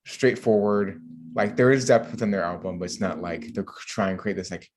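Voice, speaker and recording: A man co-hosting a podcast, chatting casually in a rambling, halting way with frequent filler words. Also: on the low side, a little mellow, a little hiss or hum. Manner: rambling, halting, filler-heavy delivery with many "like"s. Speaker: podcast co-host. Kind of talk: casual group chat.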